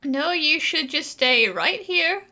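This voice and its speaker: A woman's voice: high voice, expressive, slow, sharp tone. A woman giving casual let's play commentary in a blunt, wry way. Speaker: gaming streamer.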